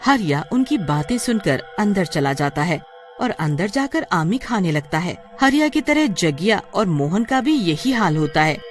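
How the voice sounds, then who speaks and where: A woman reading scripted narration for a cartoon story. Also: medium pitch.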